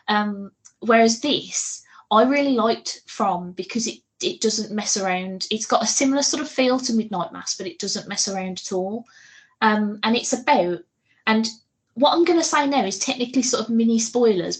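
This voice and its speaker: A woman chatting casually as a podcast co-host, giggly and talkative, with a loose, sweary way of talking. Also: mid-range voice.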